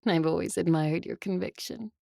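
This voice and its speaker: A centuries-old vampire noblewoman in an audio drama. Her voice is lowish, with a gravelly fry, and dryly recorded. She speaks earnestly and with measured resolve. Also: warm tone, no echo, clean studio sound. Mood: quiet, serious. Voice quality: gravelly, husky. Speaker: voice actor and story narrator.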